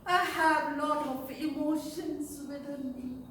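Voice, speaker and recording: A woman acts out a lonely outcast sinner woman in a stage monologue, very high-pitched and pleading. She speaks slowly and theatrically, her voice ringing through a very echoey room. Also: fairly even intonation, fairly bright tone, full character voice. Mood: anguished. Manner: theatrical, emotional, emphatic pauses and rhetorical questions. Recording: echoey room, distant mic, faint noise.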